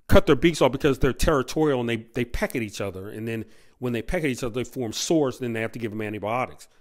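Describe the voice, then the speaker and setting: A man hosting a video, giving unscripted commentary in a halting way, repeating and stressing his points. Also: medium pitch. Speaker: video host.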